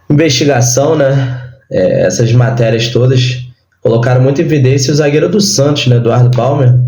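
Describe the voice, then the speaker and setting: Hoarse Brazilian man, roomy dull sound. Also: medium pitch, mellow. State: hoarse, sore throat. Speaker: football channel host and radio announcer. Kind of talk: casual sports commentary vlog.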